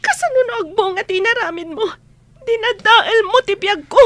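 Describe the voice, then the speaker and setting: A woman's voice: very high voice, normal speed, sharp tone, no echo. A young woman with an Ilocano Filipino accent, playing a housemaid and student in a radio drama. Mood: tearful, outraged. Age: young adult. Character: young housemaid and student, respectful, later outraged and anguished.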